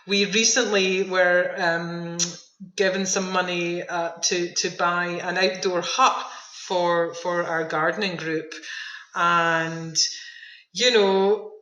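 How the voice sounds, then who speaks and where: Woman, mid pitch, bright tone, emphatic and enthusiastic, conversational interview, roomy sound. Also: dull audio. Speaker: veterans' activity centre manager, interview guest.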